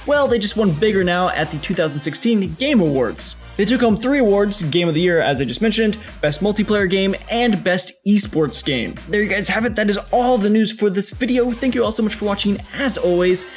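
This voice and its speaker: A man's voice: high-pitched, animated, fairly quick, few pauses. A young man narrating scripted gaming news as a video host, casual and upbeat, stressing key words. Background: faint music.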